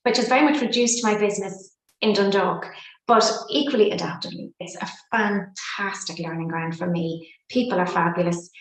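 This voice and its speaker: Guest: Irish woman, 50s, fluent and expressive storyteller, roomy echo. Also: fairly low pitch. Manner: warm, expressive and fluent, with folksy sayings. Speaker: podcast guest, psychotherapist and coach. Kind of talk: reflective interview talk.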